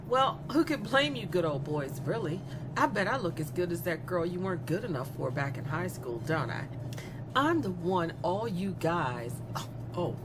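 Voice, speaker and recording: A woman playing a gleeful, remorseless young killer in a horror audio story, chatty and flippant, stretching words for emphasis. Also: on the low side. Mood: smug, taunting. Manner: conversational, flippant, giggly, with drawn-out emphasis. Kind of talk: dramatic first-person monologue in a horror audio story. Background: music.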